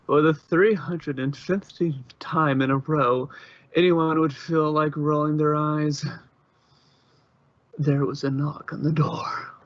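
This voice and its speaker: A man's voice: on the high side, steady tone, slow, no echo, muffled recording. A man reading scripted story narration, as the narrator of an amateur audio drama.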